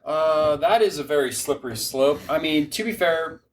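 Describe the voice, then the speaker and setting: A man's voice: a little high, normal speed, distant mic. A man chatting casually as a podcast guest, in a laid-back, slangy way. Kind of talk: casual chat.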